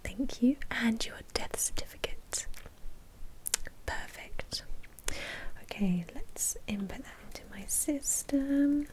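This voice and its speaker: A woman playing a chatty, politely officious vampire bank manager in a whispered, breathy ASMR roleplay. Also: fairly high pitch, slow pace, long pauses, a little mellow, rough recording. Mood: polite, businesslike.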